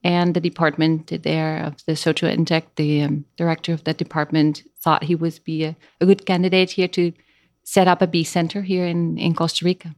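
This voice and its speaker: A middle-aged woman from the Netherlands with a Dutch accent, speaking English as a guest in a casual interview, pausing often with fillers as she searches for words. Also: low voice, flat delivery, a little mellow, no room sound, studio quality.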